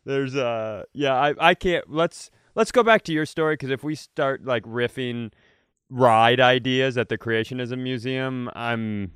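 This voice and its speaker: A man's voice: medium pitch, unhurried, a bit bright, clear, resonant tone, no room sound. A man co-hosting a podcast in casual comic chat, with dry, agreeable responses. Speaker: podcast co-host.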